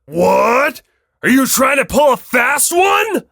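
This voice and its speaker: A performer voicing a huge, muscular, bombastic young athletic coach in an audiobook, with booming, emphatic delivery. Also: sing-song, slow, gravelly, fairly bright tone, no room sound, raised voice, clean studio sound, exaggerated voice. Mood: loud, boisterous.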